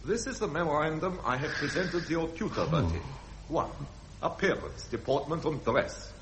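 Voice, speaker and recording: Strict royal father played by a man, formal, pedantic, very varied pitch, vintage radio drama with slight hiss. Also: lively intonation, moderate pace, close to an everyday voice. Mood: stern, disapproving. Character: stern, exacting princely father lecturing his son. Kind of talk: 1970s British radio drama acting. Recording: faintly noisy vintage radio recording.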